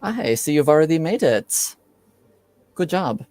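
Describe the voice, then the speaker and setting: A man voicing a composed, businesslike female assassin in a tabletop game, with formal, measured phrasing. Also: on the high side, big pitch swings, a little mellow, dry recording, voice pushed up. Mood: composed approval.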